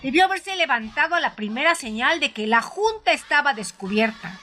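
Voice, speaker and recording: A woman narrates a story in a high, bright voice. Her delivery is dramatized yet conversational, with hesitant pauses as though telling it herself. Soft music plays behind her. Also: sing-song. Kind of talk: dramatized first-person audiobook narration.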